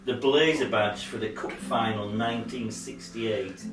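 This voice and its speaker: An actor playing a bitter, brooding narrator in a radio play, deliberate and emphatic. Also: male voice, lowish voice, natural voice. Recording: echoey, distant, guitar music over it. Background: plucked guitar music.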